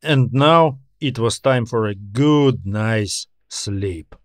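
A man's voice: fairly low pitch, takes it slowly, no room sound. Male sci-fi audiobook narrator, dry close sound. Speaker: author narrating his own story. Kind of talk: scripted audiobook narration of science fiction.

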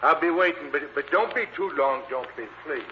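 Man acting a jittery, animated folksy cabbie. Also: a little high, fairly quick, lightly acted. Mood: uneasy. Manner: folksy, repeats his own phrases. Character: nervous, folksy working-class cab driver. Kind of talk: old-time radio drama acting. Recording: dull, noisy old radio recording.

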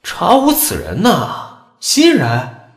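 Cynical, echoey gossip commenter. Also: female voice, fairly low pitch, huge pitch swings, slow pace, exaggerated voice. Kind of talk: audio drama acting.

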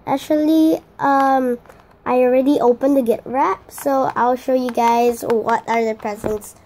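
A teenage girl with a high, slightly gravelly, hoarse voice chats through her unboxing vlog. She rambles with many fillers and restarts. The recording was made off camera in a small room with a faint hiss. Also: fairly even intonation, unhurried, darkish tone, rough recording. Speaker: vlogger.

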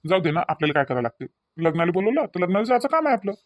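Woman voicing a quick-talking, placid Varhadi father-in-law. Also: fairly low pitch, sing-song, no room sound, dull audio. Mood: easygoing. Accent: Varhadi Marathi from Vidarbha. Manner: conciliatory, matter-of-fact. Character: placid older father-in-law, mild peacemaking rural husband. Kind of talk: cartoon comedy dialogue.

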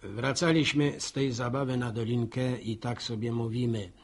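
An actor playing a genial, folksy village storyteller narrating in a radio drama. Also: male voice, mid-range voice, fairly even intonation, normal speed, breathy edge. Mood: wry, reflective.

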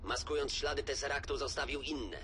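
An actor playing a serious, self-reliant superhero strategist in an animated series, speaking in a measured way. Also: male voice, medium pitch, background noise, natural voice. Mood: sober. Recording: music behind.

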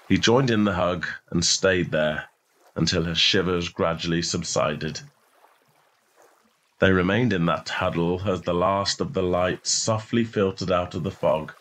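A man reading a scripted short story as its narrator, in a measured storytelling manner. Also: deep voice, fairly bright tone.

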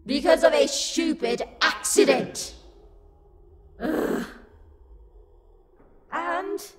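A woman performs an angry female demon in an audio roleplay, high-voiced and swinging widely in pitch. She is imperious, sassy and easily insulted, drawing out her words slowly in a long reverb. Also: expressive, full character voice. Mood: bitter, fed up. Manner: indignant, imperious, loud outbursts. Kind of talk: dramatic roleplay monologue. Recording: long reverb, music behind the voice. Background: music.

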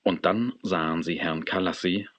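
A man narrating a children's radio play as a storyteller, in a measured, expressive manner. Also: sits low, dry recording.

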